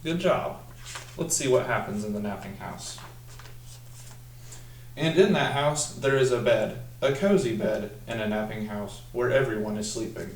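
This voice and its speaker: A young man with a mid-pitched voice reads a picture book aloud as a student teacher would. He stretches the story lines deliberately and speaks instructively to young listeners. The sound is roomy, picked up from a few feet away in a small room. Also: a little hiss or hum. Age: young adult. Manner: deliberate, instructional, drawn-out when reading the text. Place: small room at a table, indoors. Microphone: camera mic a few feet away. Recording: roomy echo, distant microphone.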